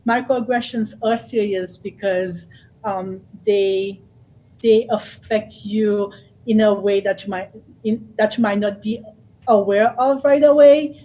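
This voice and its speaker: Woman talking slow and careful, lots of ums, middle pitch, sharing her story. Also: steady tone, dark tone, poor audio. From Haiti. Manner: thoughtful, unhurried, with frequent fillers and restarts. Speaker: author and guest speaker. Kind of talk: personal storytelling.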